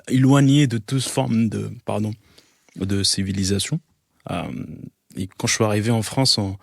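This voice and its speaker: A man in his thirties from Algeria talks as a guest in a relaxed interview, often stopping and restarting his sentences. His voice sits low. The sound is dry, with almost no room around it. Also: no room sound. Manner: hesitant, many fillers and restarts. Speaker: guest, photographer. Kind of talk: casual interview talk.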